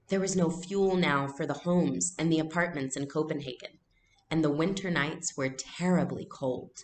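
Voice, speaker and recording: A woman teacher reading a storybook aloud in an expressive, explanatory way. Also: low voice, slight background noise.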